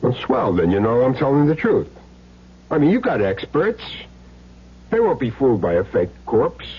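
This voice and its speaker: A man's voice: medium pitch, big pitch swings, normal speed, slightly rough. An American man acting a cagey small-time hired gun in an old radio crime drama, streetwise and earnestly insisting he is clean. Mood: insistent, pleading. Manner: streetwise, plain slangy talk, earnest self-justifying. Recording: dull, noisy old radio recording with hum.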